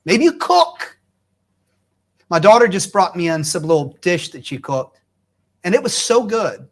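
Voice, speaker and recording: A man's voice: high voice, big pitch swings, unhurried. A middle-aged man hosting a talk show, encouraging his guest and restating the guest's points in his own words. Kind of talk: faith-based talk show conversation.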